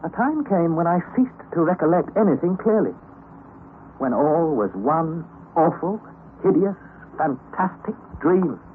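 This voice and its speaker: Earnest young narrator, lively dramatic telling, tinny old radio sound, faint hiss. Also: male voice, a little high, measured pace. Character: young male narrator, exhausted expedition nephew. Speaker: narrator and nephew.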